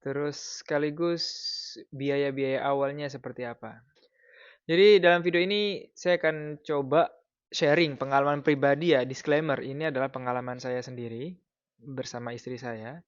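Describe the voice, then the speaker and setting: A man in his thirties from Indonesia, giving casual advice in a vlog in a relaxed, explanatory way with frequent fillers. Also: mid-range voice, moderate pace, clean tone, no echo, lo-fi sound. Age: 30s. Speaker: vlogger.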